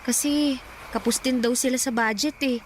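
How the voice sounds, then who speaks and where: A timid teenage girl in a radio drama who pleads with her grandmother, voiced by a woman. Her voice sits high. Faint noise hangs behind her. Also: fairly even intonation, normal speed, lightly acted.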